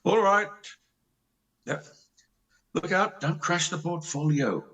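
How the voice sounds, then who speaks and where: A man playing a restless, wistful middle-aged country doctor in an amateur play reading, husky and theatrical. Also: fairly high pitch, takes it slowly, a bit bright, full character voice. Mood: brisk. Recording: slightly distant microphone.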